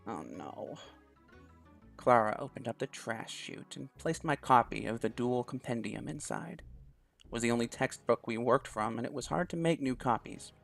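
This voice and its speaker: A man's voice: mid-range voice, animated, normal speed, creaky, a bit bright, soft background music. A man reading a visual novel aloud in first person, with small restarts. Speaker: let's play host.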